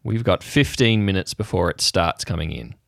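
A man's voice: low-pitched, expressive, normal speed, gravelly, a bit bright, no echo, clean studio sound, full character voice. A man playing a bulky, half-mad, haunted uncle in an improvised audio drama, muttering paranoid, clipped and insistent lines. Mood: urgent, grim.

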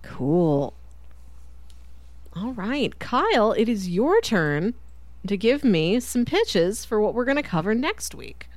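A woman of medium pitch hosts a casual podcast chat. Her intonation is lively and swooping, her pace is unhurried, and the sound is very dry. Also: no room sound. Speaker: podcast host. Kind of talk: casual chat with short listener responses.

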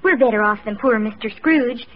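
A woman's voice: on the high side, a little fast, natural voice. A woman playing a fretful, wide-eyed young housewife in 1940s radio comedy style. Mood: fretful, sympathetic. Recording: muffled, noisy old radio broadcast.

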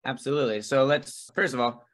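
A man's voice: medium pitch, fairly quick, fairly bright tone. A man hosting a podcast interview, affirming his guest and steering the conversation along. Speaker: podcast host.

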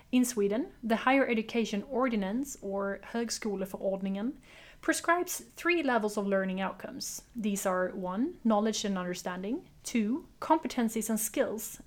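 Woman lecturer reading in a methodical way. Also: mid-range voice. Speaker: university lecturer. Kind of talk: scripted narration.

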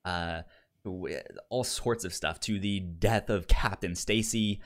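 A man with a fairly low voice hosts a casual podcast. He stutters, repeating sounds and syllables as words get stuck. The delivery is conversational and halting. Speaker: podcast host.

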